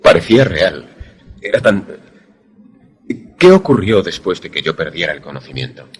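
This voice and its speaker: An actor playing a haunted, lovelorn former student who narrates a suspense radio drama in a solemn, measured, dramatic way. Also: male voice, sits low, big pitch swings, husky, full character voice. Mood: uneasy.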